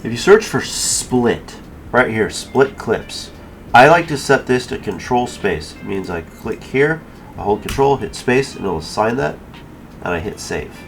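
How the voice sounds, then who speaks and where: A man hosting a casual software tutorial, explaining each step in an informal way. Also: medium pitch, big pitch swings, measured pace, vocal fry, slight background noise. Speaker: tutorial host. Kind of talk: casual screen-recorded software tutorial narration.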